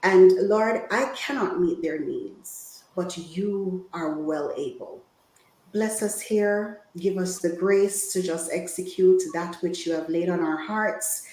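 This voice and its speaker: A woman's voice: lowish voice, takes it slowly, darkish tone. Woman speaking in an echoey room. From Jamaica. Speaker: guest women's health speaker. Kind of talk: informal health talk to an online audience.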